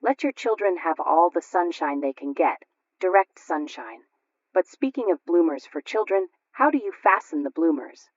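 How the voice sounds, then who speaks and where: A woman's voice: on the low side, expressive, moderate pace, no room sound. A woman voicing a proud, practical housewife in a radio sketch, precise and instructive. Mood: approving, instructive. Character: proud, practical, painstaking housewife at sewing club. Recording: dull lo-fi radio recording.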